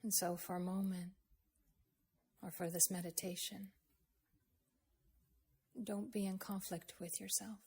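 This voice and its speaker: Female meditation guide, breathy and husky, even-toned delivery, gentle and unhurried with generous pauses. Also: mid-range voice. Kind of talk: slow guided meditation, with a poem recited.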